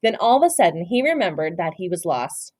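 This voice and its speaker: A woman reading a picture book aloud in an expressive storytelling style for children. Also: fairly low pitch, a little fast, no room sound. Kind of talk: animated children's read-aloud narration.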